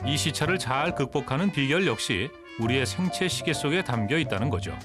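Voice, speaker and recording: Fast male documentary narrator. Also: mid-range voice, expressive. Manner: smooth, informative. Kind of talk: scripted narration. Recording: voice-over with music behind.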